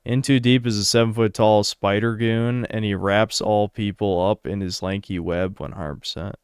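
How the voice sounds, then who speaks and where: This is a man in casual livestream chat, reading out donations and answering them bluntly and crudely. His voice sits on the low side and sounds smooth and resonant. The sound is dry and close, with no room echo. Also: fairly even intonation, moderate pace.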